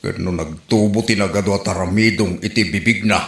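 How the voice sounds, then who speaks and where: A man's voice: low voice, a little fast, gravelly, echoey room. An actor playing an exasperated village husband in an Ilocano Filipino comedy drama, bantering and questioning his gossiping wife. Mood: curious.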